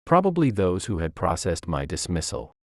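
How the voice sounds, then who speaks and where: A man's voice: deep voice, wildly swooping pitch, moderate pace, no echo, clean studio sound. A man reading a scripted first-person story as narrator.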